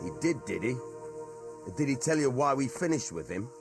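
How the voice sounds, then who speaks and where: A man playing a rough, plain-spoken veteran mercenary in a video game, with a husky voice and an earthy, colloquial way of talking. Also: mid-range voice, very animated, moderate pace, music in the background. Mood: guarded, matter-of-fact. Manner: colloquial, rustic, earthy storytelling. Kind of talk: dramatic video game cutscene dialogue.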